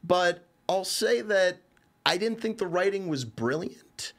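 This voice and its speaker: Higher-pitched man, casual rambling reviewer. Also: lively intonation, slow. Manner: rambling, informal, swears casually. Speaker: reviewer on a show. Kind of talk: casual conversational review of an animated series.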